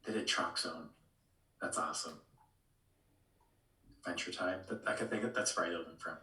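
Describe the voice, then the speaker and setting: A man co-hosting a casual discussion in a halting way, repeating words as he thinks. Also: deep voice, bright, forceful tone. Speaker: podcast co-host. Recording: roomy echo, distant from microphone.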